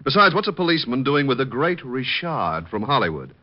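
A resentful business partner who sneers and snaps when questioned. His delivery is lively. The sound is a dull old radio broadcast. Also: medium pitch, brisk. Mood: snide. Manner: snide, defensive. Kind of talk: 1950s radio drama acting.

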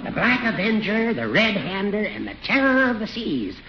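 High-pitched, needling small-town judge. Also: male voice, big pitch swings, moderate pace. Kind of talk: 1940s radio sitcom acting. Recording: dull, noisy 1940s radio recording.

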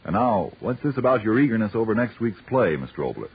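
A man's voice: lowish voice, big pitch swings, fast talker, husky. An American man as a 1940s radio announcer, reading an advertisement in an emphatic salesman's delivery. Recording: thin, tinny 1940s radio broadcast.

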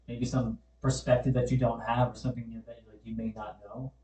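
A man co-hosting a podcast, explaining things in a calm, measured way during a casual chat. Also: mid-range voice. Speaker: podcast co-host. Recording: echoey room.

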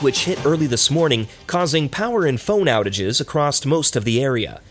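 A man's voice: mid-range voice, lightly acted. A man playing a polished, formal local radio news announcer in an audio drama.

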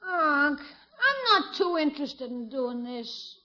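Bright, piping wisecracking young boy. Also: sits high, big pitch swings, slow pace, full character voice. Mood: whiny. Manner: slangy, exclamatory kid talk. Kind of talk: 1950s radio comedy acting. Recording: dull old radio recording.

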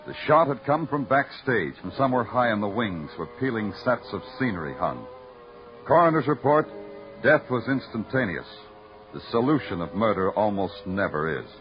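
A man acts a brooding, hard-boiled detective telling his case in noir radio style. The delivery is terse and weary, with wide swings of pitch. It comes through as a muffled old broadcast with music. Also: very animated, normal speed, natural voice. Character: hard-boiled, world-weary male New York night-shift police detective. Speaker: lead actor and narrator. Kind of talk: noir radio drama narration. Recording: dull vintage radio recording.

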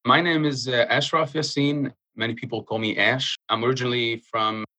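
A young man from Jordan, speaking earnestly in a casual interview and pausing often with fillers. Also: mid-range voice, steady tone, pushed and bright. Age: young adult. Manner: earnest, halting with frequent uh fillers. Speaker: guest.

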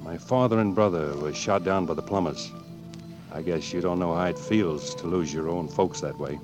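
Young cowboy outlaw, male actor: low voice, earnest, halting, vintage radio drama with music behind. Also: natural voice. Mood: earnest, shy. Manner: halting, plainspoken western talk. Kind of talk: 1940s radio acting.

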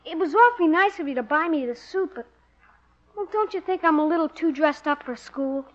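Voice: high voice, lively intonation, fairly quick. A performer playing a polite, reluctant ten-year-old boy in a 1950s radio comedy, earnest and mildly protesting. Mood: sheepish, polite. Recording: dull old radio recording.

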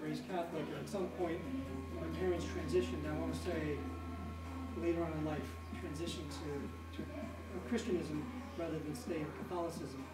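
A middle-aged man from the American Midwest shares personal stories as an interview guest. He talks conversationally and leans on repeated phrases. The room is echoey, the mic sits at a distance, and music plays clearly behind him. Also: fairly high pitch, flat delivery, normal speed. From Midwestern United States.

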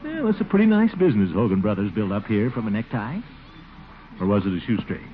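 An actor playing a pompous, blustery, easily flustered middle-aged water commissioner in a 1940s radio comedy, on a noisy, muffled recording. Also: male voice, on the low side, sing-song, fairly quick, soft background music. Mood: smug, scheming. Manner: blustery, self-important, chuckling.

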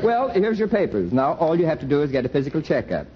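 A man's voice: fairly high pitch, very animated, fast, highs cut off, over a noisy crowd. An actor playing a fussy, persistent insurance salesman in a prim, needling manner, in 1940s radio comedy style. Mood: officious. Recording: old radio recording, loud audience, heavy noise.

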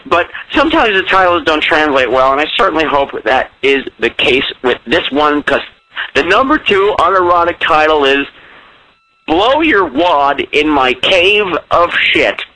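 A man's voice: on the high side, very animated, a little slow, pushed and bright, no room sound, poor audio. A drunk man rambling in a campy, comic way as he leaves a casual voicemail over the phone. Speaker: listener phoning in a voicemail.

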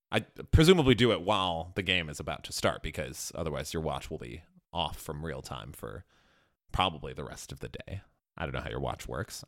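A man's voice: deep voice, creaky, a bit bright, dry recording. A man co-hosting a podcast, chatting casually about sports with dry, wry humor. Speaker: podcast co-host.

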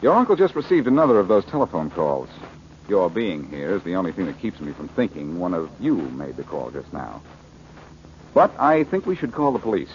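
An American actor playing a wisecracking, cocky amateur detective in 1940s radio drama style, dry and flippant. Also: male voice, sits low, big pitch swings, fairly quick, a little mellow. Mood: wry, brisk. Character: wisecracking amateur detective pressed into bodyguard work. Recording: muffled, noisy old radio recording.

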